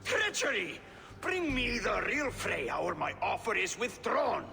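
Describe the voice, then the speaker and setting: An actor playing a scheming, bitter giant builder in a video game, with a florid, taunting, theatrical delivery. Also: male voice, high voice, huge pitch swings, unhurried, vocal fry, heavily acted. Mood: indignant. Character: scheming, gloating, bitter male giant builder, foul-tongued trickster. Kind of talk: dramatic video game acting. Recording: long reverb, faint music behind.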